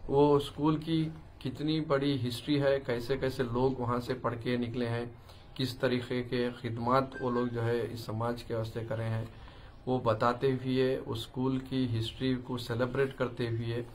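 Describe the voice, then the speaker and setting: A man in his forties with a Deccani Urdu accent, giving a halting public message mixed with English phrases. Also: mid-range voice, flat delivery. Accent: Deccani Urdu of southern Andhra Pradesh. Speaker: local politician. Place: small crowded office room indoors. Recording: room noise in background.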